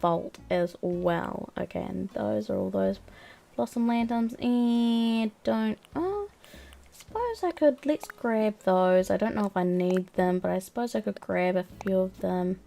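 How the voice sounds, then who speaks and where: A woman's voice: mid-range voice, measured pace, creaky, mellow. A young woman, chatting casually on a live stream while she plays a game. She rambles, with lots of ums and likes, and sounds a bit sniffly from a cold. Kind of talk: casual chat while playing a video game.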